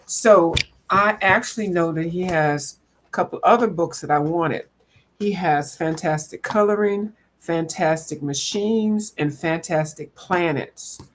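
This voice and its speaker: A woman's voice: fairly low pitch, unhurried, a little hiss or hum. A woman chatting casually to viewers in a folksy, rambling way. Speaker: coloring channel host. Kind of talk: casual chat to viewers about coloring books.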